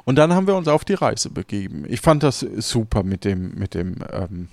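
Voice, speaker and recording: A man's voice: lowish voice, very animated, moderate pace, vocal fry, a little mellow, no room sound. A man in casual conversation as a podcast co-host, thinking aloud and rephrasing as he goes. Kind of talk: casual chat.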